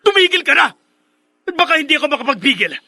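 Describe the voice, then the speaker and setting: A man's voice: extremely high pitch, very animated, quick pace, pushed and bright, no echo, studio quality, heavily acted. A man with a Filipino accent playing an indignant, protective elder brother in a radio drama, with emphatic, melodramatic delivery. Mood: furious, anguished. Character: indignant elder brother defending a slandered woman.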